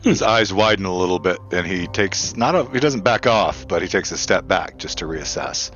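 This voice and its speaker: A man's voice: low voice, moderate pace, fairly bright tone. A man narrating his character's actions casually as a tabletop game player, on slightly dull audio.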